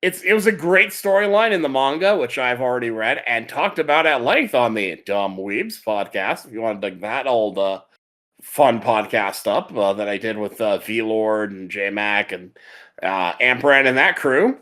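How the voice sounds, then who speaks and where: A man with a medium-pitched, animated voice that swings up and down. He talks casually as a podcast host, rambling with open enthusiasm. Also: normal speed. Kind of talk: casual chat about anime.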